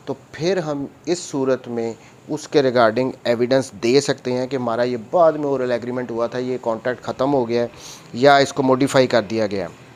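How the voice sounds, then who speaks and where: A man's voice: medium pitch, a little mellow. A man with a Pakistani accent giving an explanatory law lecture, mixing in English legal terms and repeating and rephrasing his points in a didactic way.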